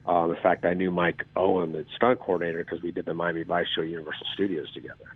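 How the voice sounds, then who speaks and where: Raspy low-voiced man on a phone line. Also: steady tone, quick pace. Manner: rambling, self-deprecating, with filler ums. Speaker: actor guest. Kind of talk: casual interview storytelling. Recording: phone-like call audio.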